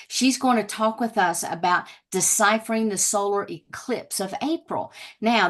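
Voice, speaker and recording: A woman hosting an online talk, introducing her guest in a gushing way with chatty fillers. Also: mid-range voice. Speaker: host.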